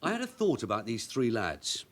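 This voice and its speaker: An actor playing a shrewd veteran detective sergeant with an English accent, brisk and wry, in a radio drama. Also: male voice, mid-range voice, huge pitch swings, a bit bright. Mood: hurried, bossy. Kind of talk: British radio drama acting.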